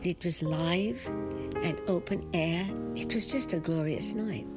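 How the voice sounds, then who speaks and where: A woman's voice: fairly low pitch, measured pace. An older woman from Sri Lanka, a jazz singer telling stories about her past in an interview. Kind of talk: reminiscing interview. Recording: music playing underneath.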